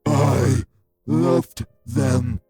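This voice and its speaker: A man playing a refined, bitter, possessive vampire sire in an audio drama, with formal, deliberate, menacing diction. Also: mid-range voice, level tone, gravelly, mellow, husky, soft background music, exaggerated voice. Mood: wounded, impassioned. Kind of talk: dramatic audio roleplay acting.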